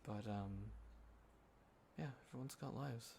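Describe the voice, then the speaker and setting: A man acting as a sentimental young guy catching up with a close friend, talking in a casual, halting, soft-hearted ramble for an intimate roleplay audio. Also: medium pitch. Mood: wistful, tender. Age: young adult. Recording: clear background ambience noise. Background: quiet city ambience.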